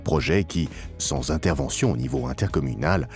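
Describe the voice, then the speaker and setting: Male narrator, low pitch, animated melody, formal scripted promotional delivery, emphatic phrasing, soft background music. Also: normal speed, creaky.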